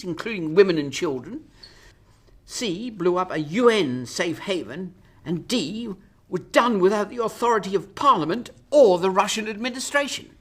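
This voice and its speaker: Actress doing a rattled female prime minister for radio drama, raspy, really animated, sarcastic and grilling people. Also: medium pitch. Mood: angry, emphatic. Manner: interrogating, emphatic, sarcastic. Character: furious, anxious woman Prime Minister, sharp-tongued and cornered.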